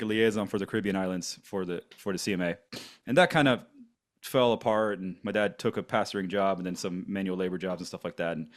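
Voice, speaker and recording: A man in his forties from Germany, whose first language is German, telling his story on a podcast in a rambling way with many fillers and restarts. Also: fairly low pitch. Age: 40s. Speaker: podcast co-host. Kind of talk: casual podcast conversation.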